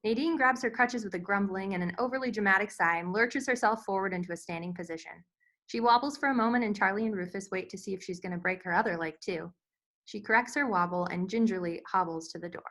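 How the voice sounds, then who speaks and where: A woman's voice: mid-range voice, fast, a bit bright. A woman narrating scripted stage directions at a screenplay table read.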